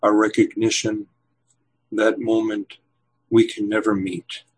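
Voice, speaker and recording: A man in his seventies speaks in a husky, somewhat low voice. He reads his poem in a slow, measured way, and in conversation he hesitates, restarts and fills gaps with "uh". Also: completely flat delivery, low-quality recording. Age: 70s. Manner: slow measured reading, hesitant with fillers when chatting. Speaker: poet and novelist guest. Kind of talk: poetry reading and interview answers.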